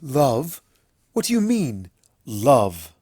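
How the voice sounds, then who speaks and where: A man's voice: medium pitch, wildly swooping pitch, very slow, mellow, slightly rough, no room sound, clean studio sound, full character voice. A man voicing a proud, easily flustered younger pony princess in a story reading, speaking in a formal, indignant, questioning way. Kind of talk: fan fiction story reading.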